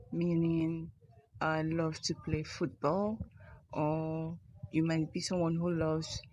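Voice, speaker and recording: A woman teaches a language class in a low voice. She speaks slowly and deliberately, spelling out each example phrase for learners. The recording is close and dry. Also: darkish tone, no room sound, rough recording. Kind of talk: instructional language lesson.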